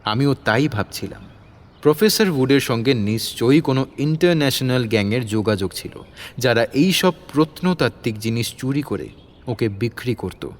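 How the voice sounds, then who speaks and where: A man's voice: medium pitch, lively intonation, normal speed. A man narrating a scripted detective story as its narrator.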